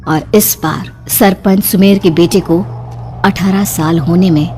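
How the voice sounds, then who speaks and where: A woman with a fairly low voice narrates in a scripted, dramatic horror storytelling style. Music plays clearly behind her. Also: steady tone, measured pace, warm tone.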